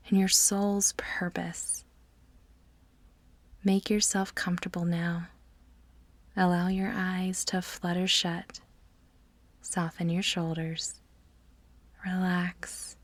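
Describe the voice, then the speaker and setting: Slow, soft-voiced woman guiding meditation. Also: on the low side, little pitch movement, no echo. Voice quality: soft, breathy, often near-whisper. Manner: soothing, measured, deliberate pauses between phrases. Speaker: guided meditation guide. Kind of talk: slow guided meditation narration.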